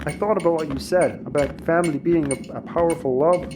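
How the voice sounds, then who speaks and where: A man's voice: fairly high pitch, fairly quick, background noise, lightly acted. A man playing a meek, devoted cult follower, hesitant and apologetic, in a sci-fi audio comedy.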